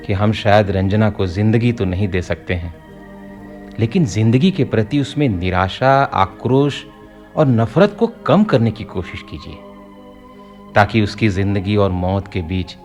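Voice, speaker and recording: Man performing earnest doctor, radio play, low pitch, measured formal delivery, grave, faint music. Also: a little mellow, lightly acted. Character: sober, earnest doctor advising a patient's mother. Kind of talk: Hindi radio play acting.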